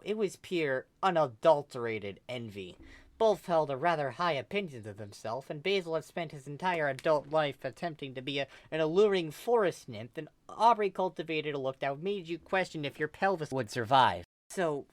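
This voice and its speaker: Low-pitched, lively woman narrator. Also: dry recording. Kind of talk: animated fan fiction reading.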